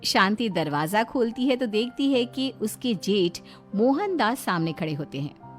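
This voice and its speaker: A woman narrating an animated moral story in an expressive storytelling style. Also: medium pitch, measured pace, soft background music.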